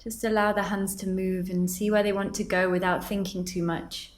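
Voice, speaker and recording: Woman guiding meditation in an even tone. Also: medium pitch. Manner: gentle, instructive, with deliberate pauses. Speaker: meditation guide. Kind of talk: guided meditation.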